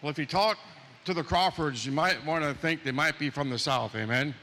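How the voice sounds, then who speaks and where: A somewhat high, bright-voiced man with a Pennsylvania accent introduces a guest in a church. His talk is rambling and conversational, and it rings out with long reverb and a little hiss. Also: a little fast. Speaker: local ministry member introducing guest. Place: church sanctuary.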